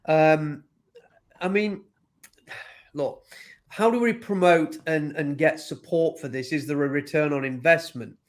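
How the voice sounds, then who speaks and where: A man speaks as a panelist, explaining his views with animated emphasis and often repeating phrases. His voice sits a touch above the usual male range. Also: on the high side, measured pace, pauses often. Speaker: panelist, consultant to executives. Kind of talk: panel discussion.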